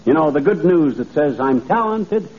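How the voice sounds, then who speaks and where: An actor playing a cheerful, gullible middle-aged braggart in a folksy, chuckling, excitable old-time radio comedy style. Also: male voice, on the high side, normal speed, natural voice. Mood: excited, boastful. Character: boastful, cheerful, gullible middle-aged small-town man. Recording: dull, noisy old radio recording.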